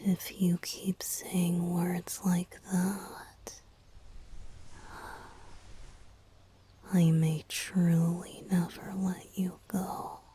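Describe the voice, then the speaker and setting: A woman voicing a tender, playful, lovesick young male bard spirit in a romantic ASMR roleplay, speaking in a breathy, whispery, intimate way. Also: on the low side, flat delivery, warm tone. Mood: tender, intense. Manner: tender, intimate, slow and hesitant.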